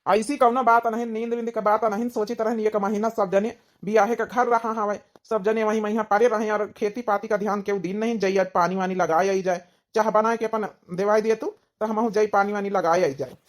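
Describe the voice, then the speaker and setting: A woman's voice: medium pitch, steady tone, brisk, natural voice. A woman voicing a hot-tempered young village husband in a comedy cartoon, scolding and grumbling, with an Awadhi accent. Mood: matter-of-fact.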